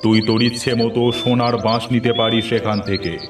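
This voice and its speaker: A man voicing an unseen, calm and generous spirit in a cartoon fairy tale, with slow, assuring pronouncements. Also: medium pitch, lightly acted. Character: unseen mysterious well-wishing spirit in a dream, calm, generous. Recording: distant-sounding voice under clear music.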